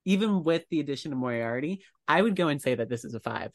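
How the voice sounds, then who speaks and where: A man's voice: a little high, lively intonation, fast, vocal fry, dry recording, clean studio sound. A man co-hosting a podcast in casual banter, with a playfully emphatic way of talking. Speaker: podcast co-host.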